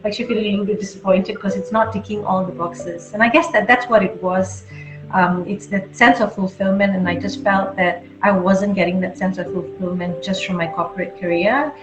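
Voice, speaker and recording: A woman's voice: medium pitch, steady tone, moderate pace. A middle-aged woman from Malaysia, an interview guest talking casually and candidly about herself, with self-deprecating asides. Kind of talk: casual conversational storytelling. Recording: roomy dull audio, clear music behind.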